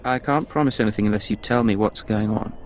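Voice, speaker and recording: An actor playing a cool, professional undercover agent in a video game, curt and controlled. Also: male voice, on the low side, fast, faint music behind, close to an everyday voice. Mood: guarded, professional.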